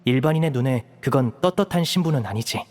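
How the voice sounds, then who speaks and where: A man voicing a composed, protective young elder brother in an anime-style game, explaining things in a measured, serious way. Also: medium pitch, animated, darkish tone, slight background noise, lightly acted. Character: composed young magician, protective eldest brother, earnest strategist.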